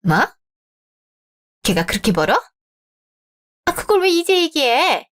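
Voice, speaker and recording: A woman's voice: high voice, big pitch swings, takes it slowly, exaggerated voice. A woman voicing an overbearing middle-aged mother of the groom in a radio drama, nagging and indignant. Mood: flustered.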